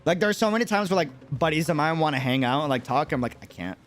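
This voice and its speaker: A man's voice: fairly high pitch, animated, quick pace, a bit bright, soft background music. A man streaming in a casual chat with viewers, talking animatedly and repeating phrases for emphasis. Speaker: streamer and content creator.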